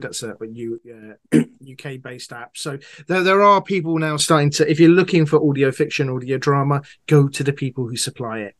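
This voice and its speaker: A man with a mid-range voice speaks casually. He is a guest in an interview, chatting informally about his field. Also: medium pitch. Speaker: guest, audio drama writer.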